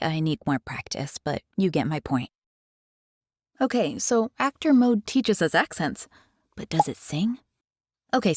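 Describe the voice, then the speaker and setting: Brief: animated American woman, dry close sound, tutorial host. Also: low-pitched, no room sound. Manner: chatty, animated, curious. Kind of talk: casual explainer. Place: carpeted bedroom. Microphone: headset mic.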